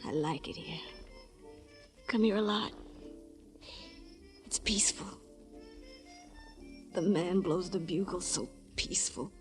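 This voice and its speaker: An actress playing a dying dance-hall girl, wistful and resigned, breathy and halting in 1950s radio noir style. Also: lowish voice. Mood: faint, wistful. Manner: halting, languid, weak. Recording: old radio recording, faint music.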